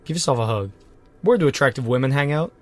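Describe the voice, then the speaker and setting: A man co-hosting a podcast, trading deadpan absurd jokes in casual chat, a little congested from a cold. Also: mid-range voice, fast. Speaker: podcast co-host.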